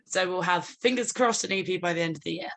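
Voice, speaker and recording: A woman's voice: fairly low pitch, quick pace, fairly bright tone. A woman speaking as an interview guest, chatting in a breezy, jokey and informal way. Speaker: interview guest, band singer. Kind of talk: casual chat.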